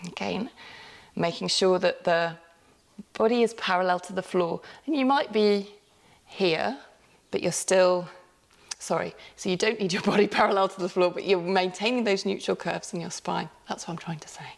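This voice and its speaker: Low, gravelly-voiced woman yoga teacher. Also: moderate pace. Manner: calm step-by-step cueing, with light laughs and self-corrections. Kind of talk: guided yoga class instruction.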